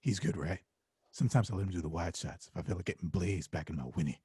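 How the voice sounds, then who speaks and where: A man's voice: deep voice, fast talker, warm tone, husky, dry recording, studio quality. A man playing a cocky young action star villain in a table read, with a smug, laid-back bro drawl. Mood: smug, casual. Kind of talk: table read of a comedy film script.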